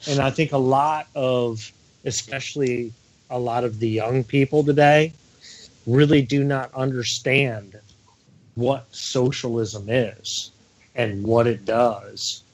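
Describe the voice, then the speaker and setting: A man co-hosts an online radio talk show. He talks slowly and haltingly at a medium pitch, stumbling over repeated words and ums before each point. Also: poor audio. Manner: halting, many restarts and ums. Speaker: co-host.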